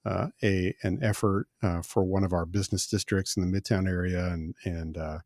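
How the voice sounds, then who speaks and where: Relaxed midwestern male podcast host. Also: sits low, moderate pace, dry recording, clean studio sound. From American Midwest. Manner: relaxed, friendly, many filler words. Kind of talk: casual interview chat. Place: recording studio.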